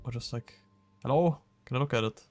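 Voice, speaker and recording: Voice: medium pitch, brisk, a little mellow, dry recording. Casual game streamer over background music. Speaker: streamer playing a detective game.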